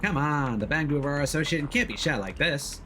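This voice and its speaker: A man's voice: fairly high pitch, animated, fairly quick, sharp tone, slight background noise. A man voicing a cheerful, encouraging promoter character while reading video game dialogue aloud. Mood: upbeat, coaxing.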